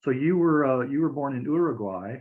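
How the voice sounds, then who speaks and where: A man's voice: mid-range voice, expressive, a little fast. A man hosting an interview, asking questions and giving brief affirming interjections. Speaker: interviewer host. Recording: tinny phone line.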